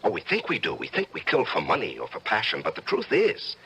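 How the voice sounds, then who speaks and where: Gravelly, wildly inflected middle-aged judge. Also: male voice, lowish voice, huge pitch swings, a little fast, fairly bright tone, full character voice. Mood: coaxing, persuasive. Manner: patronizing, coaxing, philosophizing. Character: smooth, evasive middle-aged judge hiding murderous urges. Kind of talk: old-time radio drama acting. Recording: noisy old radio drama recording.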